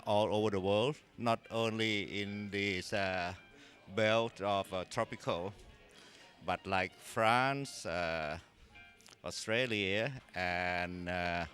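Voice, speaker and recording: A man with a fairly low voice answers questions at a conference, speaking very slowly and pausing often between phrases. Soft music plays faintly in the background. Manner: slow, deliberate, hesitant. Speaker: interviewee, former company president. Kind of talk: conference interview.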